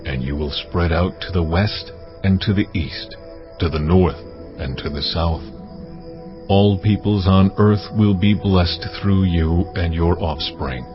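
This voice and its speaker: Man acting as deep, majestic voice of God. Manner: solemn, measured, authoritative. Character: God, majestic male deity speaking a promise. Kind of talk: dramatized audio Bible acting. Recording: dull sound, music clearly behind.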